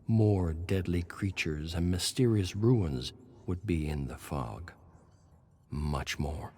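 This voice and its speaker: A man with a low voice delivers dramatic horror narration. His reading is deliberate and ominous, over faint background noise. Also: a little mellow.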